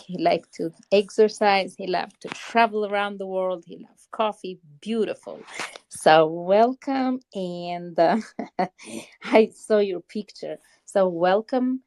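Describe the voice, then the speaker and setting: A woman's voice: mid-range voice, a little mellow. A woman hosting an online audio chat room, talking in an effusive, uplifting way about spirituality and intentions. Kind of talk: spiritual encouragement in casual chat. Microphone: phone or headset in an online audio room.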